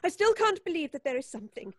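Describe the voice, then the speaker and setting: A woman's voice: extremely high pitch, big pitch swings, fast talker, a bit bright, no room sound, studio quality, heavily acted. A woman playing a timid, uneasy, polite lady in an old-time radio suspense play, hesitant, faltering and apologetic. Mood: frightened, reluctant.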